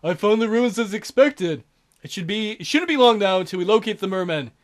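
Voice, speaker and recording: A man voicing a cold, scheming middle-aged kidnapper boss who threatens politely and calmly in a light, high voice. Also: a little fast. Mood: calm menace. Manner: calm, smooth, quietly threatening.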